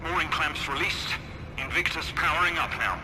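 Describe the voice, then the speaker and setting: Urgent crewman male actor amid loud engine noise. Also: moderate pace, lightly acted. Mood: urgent duty. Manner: clipped, reporting. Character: dutiful, urgent military crewman. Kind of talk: video game cutscene acting. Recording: very noisy engine rumble, music behind.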